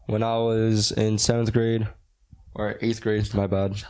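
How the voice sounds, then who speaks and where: A young man in his late teens from Hawaii co-hosts a casual podcast. He banters in a joking, slangy way, and his voice sits on the low side. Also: little pitch movement, measured pace, dark tone, clear, resonant tone. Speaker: podcast co-host.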